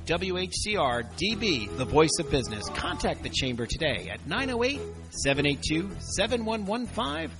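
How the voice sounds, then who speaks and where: A man's voice: high-pitched, poor audio. A man reading a scripted radio advertisement in a salesy way. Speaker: announcer.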